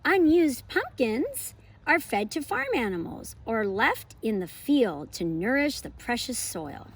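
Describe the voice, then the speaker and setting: Animated, high-pitched woman teaching children. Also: unhurried, breathy edge. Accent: American. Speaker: homeschool educator and channel host. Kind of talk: presenting lessons and expressive children's read-aloud.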